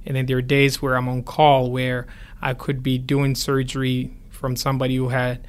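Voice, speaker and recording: A man's voice: medium pitch, monotone, normal speed, smooth. A man from Ghana, answering as an interview guest in a conversational way.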